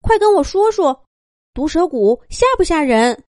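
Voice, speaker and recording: Female narrator as a young male rabbit, high pitch, very animated, curious, pestering, excitable, storybook character. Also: dry recording, studio quality. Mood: eager, curious. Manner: insistent, excitable rapid questioning.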